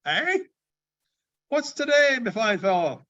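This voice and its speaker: A man voicing an excitable, repentant old miser in a storybook reading, exclaiming and stammering as he repeats himself. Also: very high-pitched, slow pace, lo-fi sound, voice pushed up, full character voice. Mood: giddy, excited.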